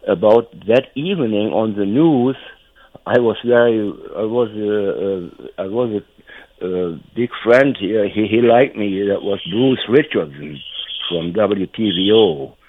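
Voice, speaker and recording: An elderly man from Germany with a German accent, reminiscing casually in a halting, rambling way and repeating himself. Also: on the low side, no room sound. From North Rhine-Westphalia, Germany. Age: 70s or older. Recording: tinny phone line.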